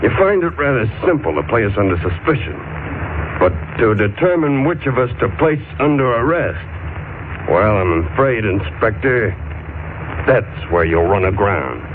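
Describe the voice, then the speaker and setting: An actor playing a formal, unruffled ship's captain who speaks precisely and with smug courtesy, in 1940s radio style. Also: male voice, fairly low pitch, very animated, normal speed. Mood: smugly courteous. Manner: pompous, precise, smugly courteous. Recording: noisy, tinny 1940s radio recording.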